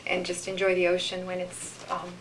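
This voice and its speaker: A woman giving a live poetry reading, with deliberate, measured delivery and informal commentary between pieces. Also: lowish voice, monotone, background noise. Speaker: poetry reader.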